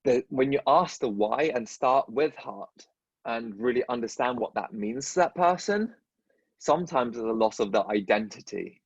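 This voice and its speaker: A male guest speaks conversationally, and his speech catches on repeated word starts. His voice is husky and dry, recorded with dull, muffled lo-fi sound and no room echo. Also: mid-range voice, lively intonation, moderate pace. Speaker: guest, dentist. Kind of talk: casual conversation.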